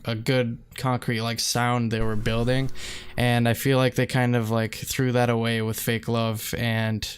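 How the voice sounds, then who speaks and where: Picture a man co-hosting a music podcast with a very smooth, clean voice at a middle pitch. He talks hesitantly and keeps hedging with "I think" and "like". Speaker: podcast co-host. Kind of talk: casual music review chat.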